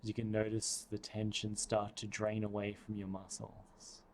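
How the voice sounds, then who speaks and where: A man guiding a breathing meditation, speaking softly and soothingly with long pauses. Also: fairly low pitch, completely flat delivery, a little hiss or hum. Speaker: meditation guide.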